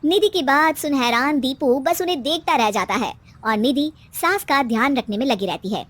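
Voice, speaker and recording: A woman with a very high voice narrates a scripted animated tale. She delivers it as a storyteller would for a bedtime story. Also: moderate pace.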